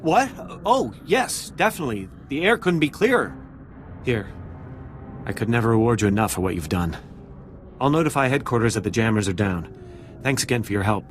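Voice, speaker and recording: An actor playing an earnest, excitable surveillance technician in a video game. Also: male voice, mid-range voice, a little fast, slight background noise, close to an everyday voice. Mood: grateful.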